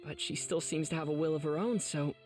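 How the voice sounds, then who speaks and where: A man's voice: fairly high pitch, fairly quick, darkish tone, reverberant, big-room sound, soft background music, lightly acted. An actor playing an earnest, kind and determined teenage boy demon slayer in an anime game. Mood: gentle.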